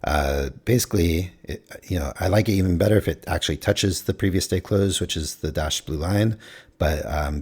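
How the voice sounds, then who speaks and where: A man reviewing his futures trades in a rambling, casual way with many fillers, his voice going hoarse. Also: a bass voice, a little mellow. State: losing his voice, clearing throat.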